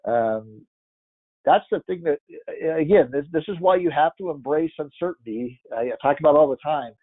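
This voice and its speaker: A congested man walks through market charts in a loose, rambling way, restarting his sentences as he thinks aloud. His voice sits at an ordinary middle pitch. The audio is thin and phone-like, with no room echo at all. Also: moderate pace. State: congested, stuffy-nosed. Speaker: market analyst. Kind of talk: casual chart-by-chart market commentary. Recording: tinny phone-like dry audio.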